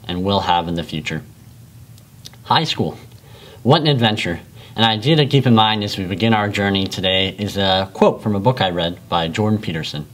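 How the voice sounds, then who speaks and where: A young man reading a prepared valedictorian speech in a school library, earnest and measured. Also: low voice, a bit bright. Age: young adult.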